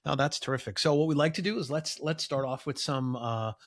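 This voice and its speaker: A man hosts a podcast with an ordinary mid-pitched voice. He talks in a friendly, loose way, often circling back and filling pauses as he gets to the point. It sounds like casual interview hosting. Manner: friendly, rambling with fillers. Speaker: podcast host.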